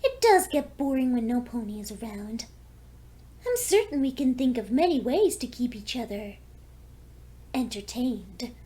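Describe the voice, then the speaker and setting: A woman's voice: on the high side, sing-song, measured pace, warm tone, pitched above the performer's own voice. A woman voicing a seductive, lonely vampire pony with a courteous, teasing manner in a fan fiction audio story. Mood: teasing, hospitable.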